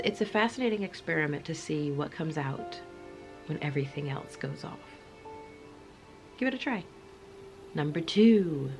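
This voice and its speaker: Low-voiced woman in a cozy chat. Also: a little mellow. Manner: playful and chatty, dropping into spooky whispers. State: voice slightly off from coming down sick. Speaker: channel host. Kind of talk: cozy casual chat to camera.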